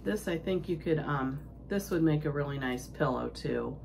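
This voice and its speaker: A woman hosting a casual needlework show-and-tell, sniffling with a cold and talking in a halting, rambling, homespun way. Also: deep voice, expressive, a little mellow. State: sick and sniffling. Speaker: needlework vlog host. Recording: clearly noisy home recording.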